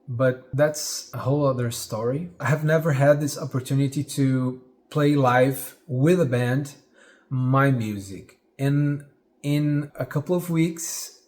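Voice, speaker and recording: A man's voice: medium pitch, a little mellow. A Brazilian man in his forties with a Portuguese accent, a musician speaking earnestly to camera from his living room. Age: 40s. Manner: earnest, reflective, pauses with fillers. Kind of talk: direct-to-camera vlog. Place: living room of a home, indoors. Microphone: camera at head-and-shoulders framing.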